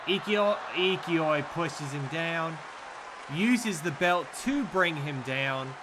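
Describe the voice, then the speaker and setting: A man's voice: fairly high pitch, expressive, slow pace, a crowd in the background, background noise. A man with a Southern US accent giving laid-back, rambling sumo commentary, laughing easily. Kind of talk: casual live commentary.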